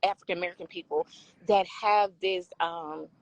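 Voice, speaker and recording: A woman with a raspy voice hosting a casual talk discussion, often affirming her guest. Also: fairly low pitch, steady tone, moderate pace, no room sound, poor audio. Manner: affirming, frequent agreement sounds. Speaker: talk show host.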